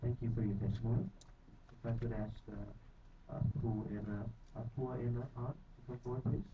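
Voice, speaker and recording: A man chairing a council meeting, calling testifiers forward briskly with hesitant fillers. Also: medium pitch, little pitch movement. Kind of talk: formal meeting procedure. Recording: tinny and noisy.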